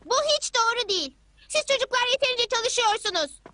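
A woman voicing an earnest, shrill girl in an animated cartoon dub. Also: a little fast. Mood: protesting. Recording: clear background noise.

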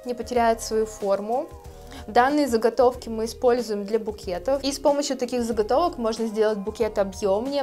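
A woman in her thirties, a florist, calmly explaining her steps in a tutorial, speaking gently to her viewers. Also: a little high. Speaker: florist teaching a course. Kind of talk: calm tutorial narration. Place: indoor studio with plants. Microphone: lapel mic.

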